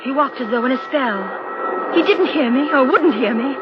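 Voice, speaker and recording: A woman's voice: high-pitched, expressive, normal speed. An actress playing a rational, insistent woman in an old radio drama, articulate, persuasive and dramatic in her delivery. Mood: tense narration. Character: rational, insistent woman reasoning with a frightened man. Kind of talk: 1940s radio drama acting and narration. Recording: dull, noisy old radio broadcast.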